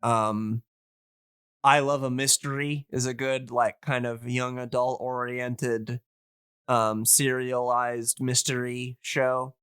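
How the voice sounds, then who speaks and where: A man's voice: medium pitch, level tone, slow, a bit bright, clean tone, no echo, clean studio sound. A man in casual podcast chat, talking in a rambling, hedging way as an enthusiast guest. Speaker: podcast guest and radio drama hobbyist.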